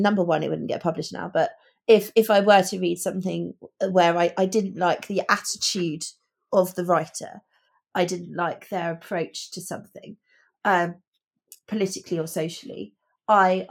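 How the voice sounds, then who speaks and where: A woman's voice: on the low side, creaky. A woman co-hosting a book discussion, talking in a reflective, articulate and questioning way. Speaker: podcast co-host, teacher.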